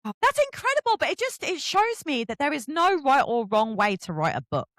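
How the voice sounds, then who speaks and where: Animated high-voiced woman hosting a chat. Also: brisk, no echo, clean studio sound. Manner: effusive, expressive reactions. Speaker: host interviewing authors. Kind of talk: casual chatty interview.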